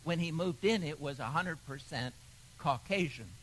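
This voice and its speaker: A man preaching a sermon, telling stories in a measured way with pauses. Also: on the high side, a bit bright, slight background noise, low-quality recording. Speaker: pastor.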